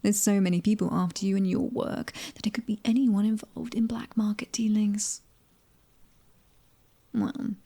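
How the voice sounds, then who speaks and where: A British woman voicing a cocky, fiery mercenary in an ASMR roleplay, teasing and breathy, often dropping close to a whisper. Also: mid-range voice, dark tone. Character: fiery, cocky young female mercenary, prickly and taunting. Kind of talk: ASMR audio roleplay acting.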